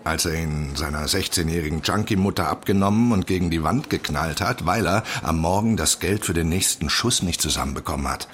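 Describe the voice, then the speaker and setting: An actor in a radio drama playing a brash, cynical friend who gives blunt, sweary, mocking advice. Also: male voice, low voice, a little fast, hardly any pauses, fairly bright tone. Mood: grim, forceful. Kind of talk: naturalistic radio drama acting.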